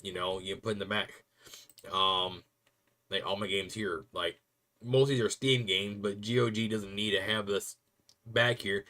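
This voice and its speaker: A young man rambling casually to viewers on a stream, chatty and quick to laugh. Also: on the low side, steady tone, sharp tone.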